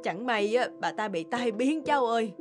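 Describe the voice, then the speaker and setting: A woman's voice: a little high, expressive, normal speed, natural voice. An actress playing a concerned village market woman in a cartoon, earnest and folksy. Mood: sad, explaining. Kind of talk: cartoon dubbing. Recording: clear music under the voice.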